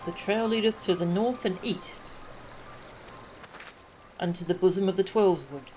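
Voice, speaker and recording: Brief: woman voicing a grave old-world scholar, formal archaic phrasing, mid-pitched, game dialogue read aloud. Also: a little slow, mellow, faint music behind, close to an everyday voice, low-quality recording. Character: solemn scholarly man speaking archaic English.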